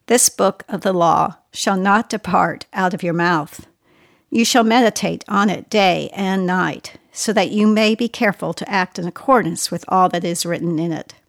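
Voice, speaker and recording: A woman reading scripture aloud in a solemn, measured, deliberate way. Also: on the low side, vocal fry, dry recording, clean studio sound. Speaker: scripture reader.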